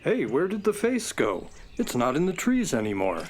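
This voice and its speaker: A woman's voice: low voice, wildly swooping pitch, moderate pace, a little mellow, a little hiss or hum. A woman playing an earnest, level-headed space adventurer with precise, informative delivery in a children's audio drama. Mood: curious.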